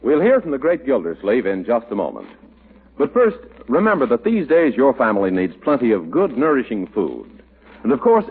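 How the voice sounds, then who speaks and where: Animated American radio announcer, tinny old broadcast. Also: male voice, fairly low pitch, husky. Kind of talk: 1940s radio announcing and ad copy.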